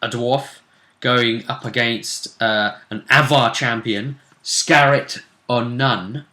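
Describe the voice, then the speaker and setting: A man with a bright, forward voice acts as game master at a tabletop session. His delivery is casual and halting as he deliberately lays out the game for his players. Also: mid-range voice, steady tone, echoey room.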